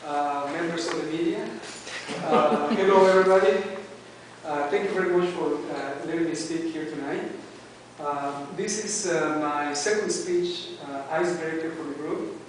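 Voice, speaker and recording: A man with a Mexican accent, his first language Spanish, gives a halting prepared speech to a club, pausing often on fillers. His voice sits a little high for a man. He is recorded from across a very echoey meeting room, with a faint hiss underneath. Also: distant mic. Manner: hesitant, many "uh"s and restarts. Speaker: club member giving a speech.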